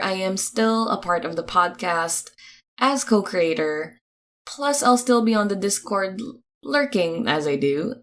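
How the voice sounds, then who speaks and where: A woman reading podcast credits in a chatty, informal, upbeat announcing style, with expressive delivery. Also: medium pitch.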